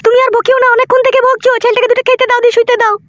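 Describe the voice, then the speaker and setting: A woman's voice: extremely high pitch, level tone, very fast, fairly bright tone, highs cut off, slight background noise. A woman playing a protective, nagging village mother, curt and bossy, in a comedy cartoon. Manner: indulgent to her son, curt and bossy.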